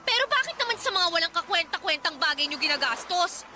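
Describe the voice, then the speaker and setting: A fed-up young eldest daughter in a radio drama, responsible and emphatic. Her voice is extremely high and swings widely in pitch, over clear background noise. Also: big pitch swings, fairly quick. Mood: indignant. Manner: indignant, scolding, emphatic.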